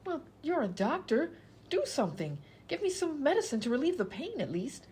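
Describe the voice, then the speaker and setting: A woman plays an indignant, exasperated female patient in a radio comedy. The voice is high and very animated in pitch, full of pleading protest. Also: a little fast, slight background noise. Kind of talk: comedy radio sketch acting.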